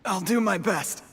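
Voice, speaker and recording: A man's voice: sits high, exaggerated voice. An actor playing a timid, servile conspirator in a video game, nervous and deferential toward the one he serves. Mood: flustered. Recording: long reverb, some background noise.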